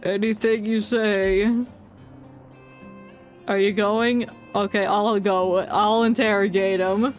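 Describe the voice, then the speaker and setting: A woman's voice: medium pitch, flat delivery, slow pace. A woman streaming a game with casual, joking, theatrical commentary over game music.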